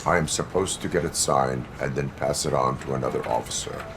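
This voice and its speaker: An actor playing a stoic cyborg police officer in a video game, speaking formally and deliberately like a machine. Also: male voice, very deep voice, creaky, noisy background. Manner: formal, deliberate, flat robotic delivery.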